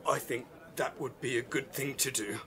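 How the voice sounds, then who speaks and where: An actor playing a dutiful, put-upon servant in an audio drama, polite and deferential. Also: male voice, mid-range voice, fairly even intonation, moderate pace, pushed and bright, lightly acted. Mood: deferential, kind.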